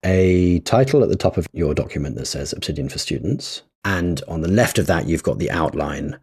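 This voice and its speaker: A man with a very low, husky voice co-hosting a casual podcast. He talks in an easygoing, self-deprecating way. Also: expressive, moderate pace, a little mellow. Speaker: podcast co-host. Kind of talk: casual chat.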